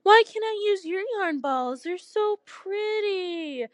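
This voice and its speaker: A woman's voice: extremely high pitch, sing-song, takes it slowly, darkish tone, no room sound, studio quality, pitched above the performer's own voice, full character voice. A woman voicing a wicked, sly goddess of magic who coos and pouts in a storybook tale. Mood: pouting. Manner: cooing, pouting, scoffing.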